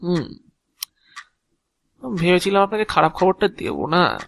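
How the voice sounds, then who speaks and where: A man playing a sly, fussy, talkative agent of death in an audio drama, unhurried and theatrical, given to muttering. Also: sits high, very animated, vocal fry, a bit bright, full character voice. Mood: calm, ominous. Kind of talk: Bengali audio drama acting.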